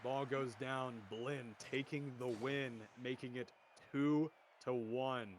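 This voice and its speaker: A young man with a deep voice streaming esports commentary from home, chatting with viewers and calling plays. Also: steady tone, slow, slight background noise. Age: young adult. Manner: rambling, chatty, restarts often, bursts louder on goals. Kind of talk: casual solo game commentary and chat reading. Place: home room.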